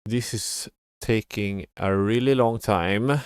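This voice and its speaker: A man's voice: lowish voice, slow pace, smooth, dry recording, clean studio sound. A man giving casual live game commentary as a streamer, muttering in a mildly exasperated way. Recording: game music behind the voice. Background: video game music.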